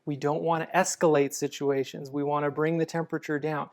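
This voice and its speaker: A man answering interview questions about apologetics in a calm, measured, explanatory way. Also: a little high. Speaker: apologetics guest.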